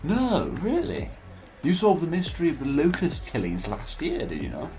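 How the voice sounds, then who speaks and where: A man playing a smooth, scheming politician in a radio drama, speaking in a pompous, florid and formal way. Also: a little high, wildly swooping pitch, moderate pace, warm tone, faint music behind. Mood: falsely cordial. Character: smooth, scheming male politician villain, falsely cordial.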